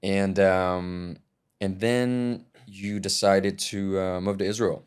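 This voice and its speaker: A man's voice: sits low, slow, smooth. A young man hosting a podcast conversation, talking loosely with many fillers and friendly praise. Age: young adult. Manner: relaxed, filler-heavy, warm toward guest. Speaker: podcast host and musician.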